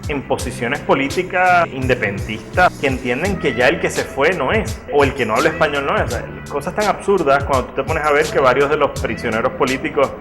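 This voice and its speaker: A man's voice: mid-range voice, a little fast, few pauses. A man speaking reflectively in a documentary interview. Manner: reflective, analytical. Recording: music under the voice.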